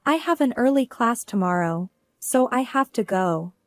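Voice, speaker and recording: A woman voicing a cheerful, reassuring young college daughter with a bright, girlish lift. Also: fairly high pitch, lively intonation, moderate pace, no room sound, voice pushed up, lightly acted. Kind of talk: scripted narration.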